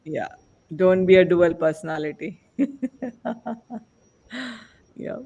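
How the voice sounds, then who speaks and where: Male podcast host, slow and high-pitched, encouraging with guests. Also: flat delivery, warm tone, highs cut off. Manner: encouraging, frequent affirmations. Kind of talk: casual panel moderating.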